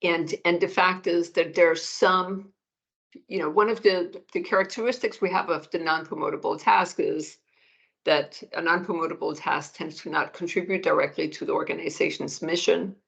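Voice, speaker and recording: Gravelly woman, muffled call. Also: fairly low pitch, steady tone, a bit bright. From Denmark. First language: Danish. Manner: measured, restarts phrases while thinking. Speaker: economics professor, guest. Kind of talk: interview answers, explanatory.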